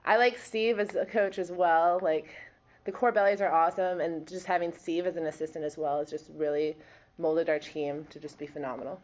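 A woman's voice: low voice, steady tone, brisk, a little mellow. Bubbly, giggly young woman in dull audio. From Wisconsin. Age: 20s. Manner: bubbly, giggly, chatty with many fillers. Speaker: college volleyball player. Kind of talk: casual interview answers.

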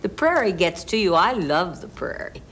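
A woman's voice: on the low side, sing-song, normal speed, a bit bright. An older woman from western Kansas speaking reflectively in a documentary interview, in thoughtful phrases. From western Kansas, United States. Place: indoors in a wood-panelled room. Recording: background noise, room ambience.

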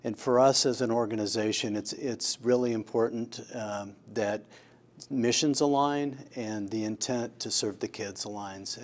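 Halting, deep-voiced man. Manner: halting, pausing mid-sentence. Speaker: charity board leader, interview guest. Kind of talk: unscripted interview talk. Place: indoor office room, television shoot.

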